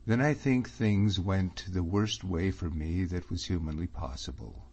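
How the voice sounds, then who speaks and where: A man's voice: low-pitched, measured pace, a little mellow. A man reading an audiobook, voicing an earnest young man who pleads anxiously, close to his own voice. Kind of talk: audiobook character voice. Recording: slightly muffled recording.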